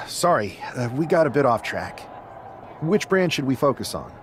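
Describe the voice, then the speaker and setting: A man's voice: medium pitch, big pitch swings, normal speed, lightly acted. A man playing a loyal, encouraging young wrestler in a video game cutscene. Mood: sincere, nostalgic. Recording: faint background noise and ambience.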